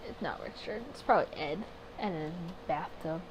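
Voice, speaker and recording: A woman's voice: low-pitched, fairly quick, background noise. A woman hosting a visual novel playthrough, reading aloud and commenting to herself, sometimes stumbling over words. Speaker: gaming video host.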